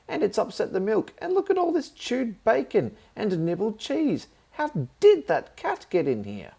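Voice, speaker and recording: A man's voice: sits high, gravelly, a little mellow, husky, voice pushed up, exaggerated voice. A man voicing a fussy, easily outraged middle-aged aunt in a children's story, exclaiming and nagging indignantly.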